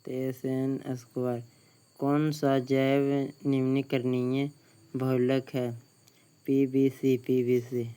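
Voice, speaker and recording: A man, a Bihari Hindi speaker, reading out exam questions in a slow, flat way, repeating each question before giving the answer. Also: medium pitch, clear, resonant tone. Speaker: exam tutor.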